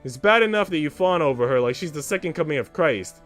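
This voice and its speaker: A man's voice: a little high, lively intonation, quick pace, fairly bright tone, faint music behind. A man voicing a haughty, petulant young noblewoman from a visual novel, snapping indignantly. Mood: furious outrage.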